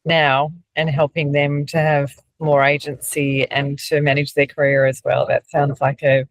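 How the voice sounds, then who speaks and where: A woman hosting a podcast interview, affirming her guest with frequent soft responses. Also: low voice, no room sound, low-quality recording. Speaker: podcast host.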